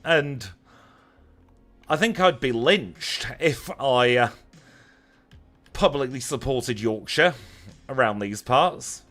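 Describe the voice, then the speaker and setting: A man with a Lancashire accent talks in a mid-pitched voice over faint music. He is chatty and wry, commenting casually on his game like a streamer talking to viewers, often at his own expense. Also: lively intonation, slow, pauses often, fairly bright tone. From Lancashire, northern England. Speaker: live streamer playing a video game. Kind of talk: casual livestream chat over gameplay.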